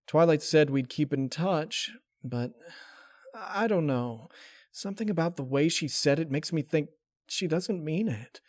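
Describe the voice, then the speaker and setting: A man acts out a young male dragon from a storybook. He is earnest and unsure, speaking in a hesitant, boyish voice lifted above the narrator's usual pitch. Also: lively intonation, measured pace, darkish tone, no room sound, studio quality. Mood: dejected.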